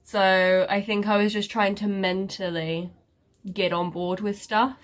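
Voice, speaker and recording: Woman vlogger, slow and congested, sarcastic and rambling in a casual update. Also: mid-range voice, level tone. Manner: sarcastic, self-mocking, rambling with many fillers. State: congested, stuffy nose. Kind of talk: casual life-update chat.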